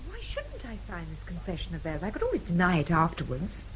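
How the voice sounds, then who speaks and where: Young sister, spirited, direct, rapid animated speech, radio drama. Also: female voice, fairly low pitch. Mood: anxious, spirited.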